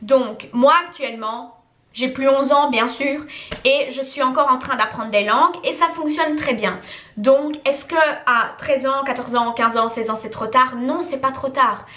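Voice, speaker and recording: A woman's voice: high voice, a little slow, bright, forceful tone. Chatty young woman in an echoey room. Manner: chatty, repeats words for emphasis. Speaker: YouTuber answering viewer questions. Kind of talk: casual chat to camera.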